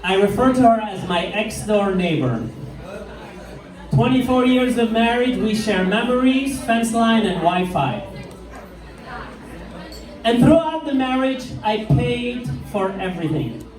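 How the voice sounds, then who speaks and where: A middle-aged man with an Iraqi accent works a pub stage, slowing down into deadpan punchlines. His voice sits high for a man. It comes through an echoey room, picked up from some distance. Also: a crowd in the background. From Iraq. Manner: deadpan one-liners, slow punchline delivery. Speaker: stand-up comedian. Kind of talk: stand-up comedy set. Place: pub stage with live crowd. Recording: echoey room, mic heard from far off.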